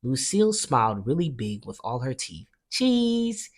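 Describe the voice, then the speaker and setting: Woman, children's read-aloud narrator, very low pitch, animated intonation, expressive. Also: moderate pace, slightly rough. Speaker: storybook reader.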